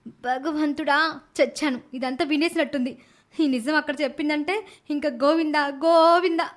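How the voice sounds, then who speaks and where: Woman playing desperate female plotter, high voice, urgent, dramatic, animated story. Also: moderate pace, natural voice. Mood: panicked. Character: scheming, desperate young woman who plots a killing. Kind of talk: animated moral story voice acting.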